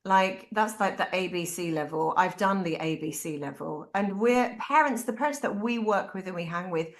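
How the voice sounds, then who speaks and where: A woman with a British accent, a parent giving candid, animated advice to other parents. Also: lowish voice, normal speed. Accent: British English. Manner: animated, acts out quoted voices. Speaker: parent speaker. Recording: echoey room.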